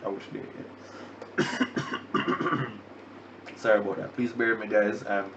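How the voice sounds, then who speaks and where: A Jamaican man hosts a casual livestream podcast while down with the flu, so his voice comes out stuffy and nasal. His low voice is rough and gravelly, and he rambles with lots of fillers and restarts. The audio is muffled and lo-fi, with steady noise underneath. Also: takes it slowly. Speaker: podcast host. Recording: dull lo-fi stream audio with background noise.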